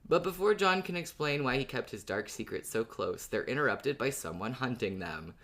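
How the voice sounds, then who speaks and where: A man's voice: mid-range voice, animated, normal speed, a bit bright. A man co-hosting a podcast, chatting and recapping in a casual style. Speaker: podcast host.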